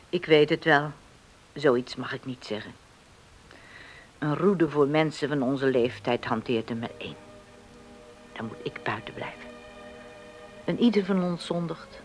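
A woman's voice: low voice, slight background noise. An actress playing a strong-willed, outspoken farm widow and mother in a radio drama, teasing and defiant. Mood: brooding.

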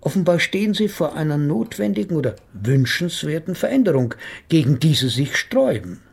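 An actor playing a measured, sceptical psychotherapist in a radio play, speaking in a formal, pedantic, hedging manner. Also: male voice, fairly high pitch, big pitch swings, creaky, darkish tone, husky, heavily acted. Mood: analytical. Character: measured, sceptical male psychotherapist analysing his patient.

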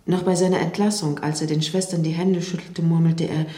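A woman's voice: low-pitched, little pitch movement, brisk, dark tone, close to an everyday voice. An actress playing a woman soberly recounting memories in a radio drama, with a roomy sound. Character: woman soberly recalling a dying man.

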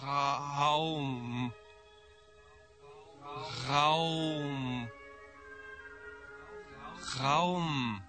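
An actor voicing a strange distant voice in a radio play that stammers out single words. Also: male voice, on the high side, fairly even intonation, extremely slow, pauses often, bright, forceful tone, soft background music, full character voice. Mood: halting.

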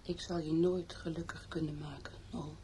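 An actress in a radio play playing a despairing young woman reciting a farewell letter in a tender, breathy half-whisper. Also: deep voice, little pitch movement, normal speed, dark tone, lightly acted. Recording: noisy old radio play recording.

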